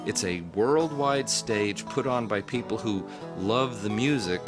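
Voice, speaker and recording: Man, show host interviewed, earnest, emphatic, low register, loud guitar music. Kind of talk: interview answers. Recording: prominent guitar music behind. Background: plucked guitar music.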